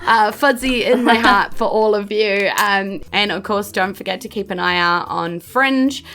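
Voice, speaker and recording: A woman's voice: medium pitch, unhurried, bright, forceful tone. A woman with a New Zealand accent, chatting enthusiastically and casually as a podcast host and game master. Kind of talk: casual podcast outro chat.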